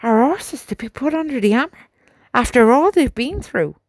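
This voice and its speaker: A woman's voice: sits high, animated, normal speed, no echo, clean studio sound, voice pushed up. A woman reading aloud, voicing an indignant male army sergeant who pleads for his horses. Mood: pleading. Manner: pleading, rising to outrage.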